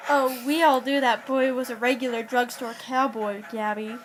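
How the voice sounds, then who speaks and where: A woman plays a level-headed housewife in an old-time radio play. She speaks in a very high voice with a wry, slangy, needling tone, and music plays clearly behind. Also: steady tone, moderate pace, natural voice. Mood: probing, soothing. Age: teens. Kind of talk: 1940s radio drama acting.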